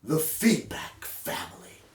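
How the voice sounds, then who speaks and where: A man giving a mock-dramatic reading of a textbook, with hammy emphasis that swells suddenly and drops to a hush. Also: slow. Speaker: host of a dramatic reading series. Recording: roomy, distant microphone.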